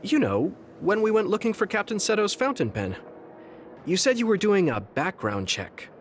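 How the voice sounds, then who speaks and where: Gentle young hero guy in a video game, earnest, bit hesitant, animated pitch, music clearly behind him. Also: normal speed. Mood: sheepish, reflective. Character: earnest, gentle, idealistic young male fighter. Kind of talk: English video game voice acting.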